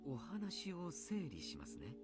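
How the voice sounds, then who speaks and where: An actor playing a jovial, kindly adult coach in a visual novel, polite, chatty and playfully teasing. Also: male voice, on the high side, huge pitch swings, moderate pace, loud music behind the voice. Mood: gently concerned. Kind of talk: Japanese visual novel voice acting.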